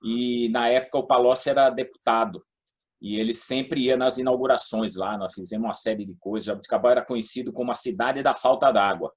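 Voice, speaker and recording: Male, middle-aged or older, São Paulo state Brazilian accent, husky, medium pitch, casual anecdotal interview guest. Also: fairly even intonation, a little fast. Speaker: guest, former public housing and utilities official.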